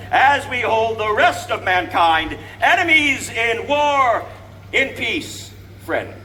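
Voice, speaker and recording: An actor playing an eighteenth-century American statesman, a solemn and fervent orator declaiming grandly and deliberately to the public in a historical reenactment. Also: high-pitched, bright, forceful tone, full character voice. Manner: grand, emphatic, deliberate phrasing projected to a crowd. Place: outdoors before a crowd, speaking from a balcony. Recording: outdoor crowd recording from a distance, noisy.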